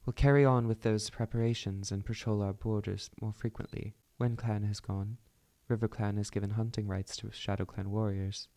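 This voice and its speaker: Storybook clan leader cat, female, read by a man: amateur British accent, firm and protective, gravelly low register. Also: level tone, normal speed, warm tone, close to an everyday voice. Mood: resolute. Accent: amateur put-on British. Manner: firm, formal, declarative.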